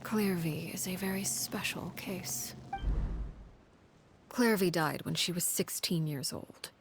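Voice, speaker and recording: A menacing, composed woman who heads an orphanage in a fantasy game. Her voice sits a little low, with formal, measured phrasing and cold detachment. Faint noise runs behind her. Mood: calm, solemn. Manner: measured, formal, unemotional delivery. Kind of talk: dramatic storytelling in a video game.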